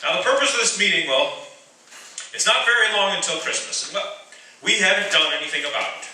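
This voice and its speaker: An actor playing an earnest, steady wartime POW barrack leader who also narrates in a radio drama. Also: male voice, high voice, fairly quick, a little hiss or hum, heavily acted. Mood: rallying. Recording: echoey distant stage pickup with music.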